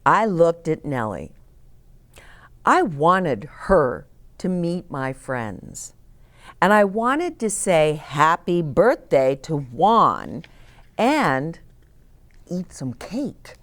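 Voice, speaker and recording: A woman hosting storytime for children, reading aloud and pausing to explain gently and ask the listeners questions. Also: deep voice, sing-song. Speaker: children's storyteller, host of a read-aloud channel. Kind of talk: read-aloud storytime with asides to young children.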